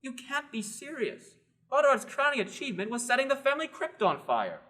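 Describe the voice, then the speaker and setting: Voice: high-pitched, big pitch swings, a little fast, pushed and bright, full character voice. A teenage actor playing the king's nervous, sardonic young nephew. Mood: incredulous. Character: anxious sardonic young male nephew of the king. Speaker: student actor in a radio play. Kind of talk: amateur radio play acting.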